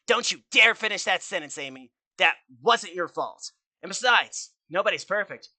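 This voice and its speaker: A man voicing a cocky, loyal, wisecracking teenage hedgehog hero with brash, joking delivery in an animated fan dub. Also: high voice, normal speed, no echo, heavily acted. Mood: reassuring.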